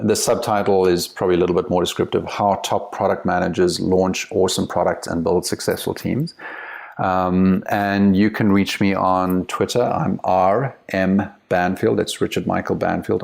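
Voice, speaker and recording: Deep, gravelly South African man. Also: darkish tone. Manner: candid, emphatic, laughs easily, repeats words while thinking. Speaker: guest, company CEO and author. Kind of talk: casual interview chat.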